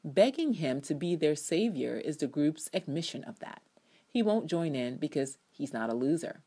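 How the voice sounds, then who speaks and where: A woman's voice: deep voice, very animated, normal speed, dark tone, slightly rough. A woman giving a dramatic, emphatic reading of her own opinionated TV review. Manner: emphatic, opinionated, acts out quotations. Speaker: TV show reviewer.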